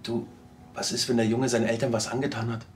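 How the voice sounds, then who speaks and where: Guy playing keen young-rank policeman, apologetic, talks fast, tv comedy. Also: medium pitch, little pitch movement, darkish tone, faint music behind, natural voice. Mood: excited, suspicious. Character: eager, apologetic junior policeman with dramatic theories. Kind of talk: TV crime comedy acting.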